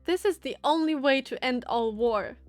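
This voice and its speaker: A high, indignant female inventor in a visual novel, acted out by a woman reader. She is hot-tempered and easily flustered, tripping over her words, with soft music behind her. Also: normal speed, no echo, lightly acted. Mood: earnest. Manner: indignant, stammers when flustered.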